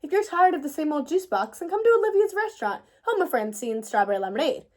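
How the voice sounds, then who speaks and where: A woman plays a confident young girl in a children's storybook, high and very animated. She is bossy and theatrical, announcing everything with showy emphasis. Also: fast. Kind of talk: children's storybook read-aloud.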